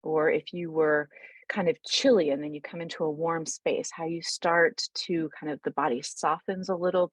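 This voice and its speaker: Casting: female meditation guide, deep register with gravelly fry, soothing and informal, unhurried delivery, close dry sound. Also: dry recording, rough recording. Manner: soothing, unhurried, informal, with pauses mid-thought.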